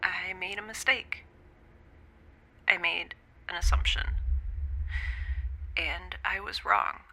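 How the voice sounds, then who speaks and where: Halting, wry young woman scientist. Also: lowish voice, sharp tone, heavily acted. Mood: guilty, subdued. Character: stranded young woman scientist, imprisoned, wry, anxious, self-deprecating. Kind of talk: audio drama monologue, recorded log entry.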